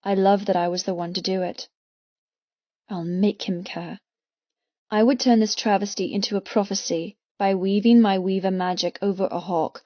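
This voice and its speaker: A woman narrating a first-person audiobook with expressive, intense, dramatic delivery. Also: medium pitch, moderate pace, no echo. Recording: slightly muffled, dry close studio sound.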